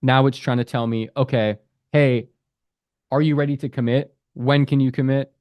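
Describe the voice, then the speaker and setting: A man in a relaxed voice-over talks through an app step by step, reading each question aloud before giving his take. His voice sits at an ordinary middle pitch. The sound is close and dry, with no room around it. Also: normal speed, no room sound. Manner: conversational, reads app prompts aloud and comments. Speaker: app developer reviewing an app. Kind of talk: casual screen-recorded app walkthrough.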